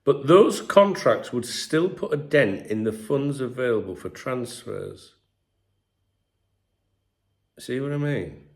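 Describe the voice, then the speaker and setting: Congested English man with gravelly voice. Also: mid-range voice, expressive, takes it slowly, pauses often. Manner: chatty, frequent throat clearing, filler-heavy. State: congested with a sore throat. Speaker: football channel host. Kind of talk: casual commentary to camera.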